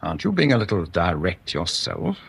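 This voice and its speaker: Pompous English chief constable, lightly husky. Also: male voice, sits low, huge pitch swings, a little fast, fairly bright tone, heavily acted. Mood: testy, secretive. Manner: pompous, formal, circumlocutory. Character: stuffy, senior male English chief constable, evasive and formal. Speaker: actor playing a senior police officer. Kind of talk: radio drama acting.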